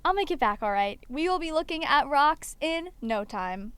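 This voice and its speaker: A woman's voice: high voice, expressive, normal speed, very noisy. A woman acting as a brave, hopeful young student in an amateur audio drama, earnest and determined.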